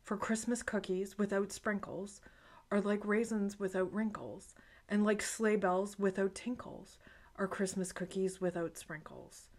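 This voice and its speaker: A woman's voice: mid-range voice, steady tone, unhurried, a little mellow. A woman voicing a determined little boy hero in a storybook read-aloud, speaking earnestly.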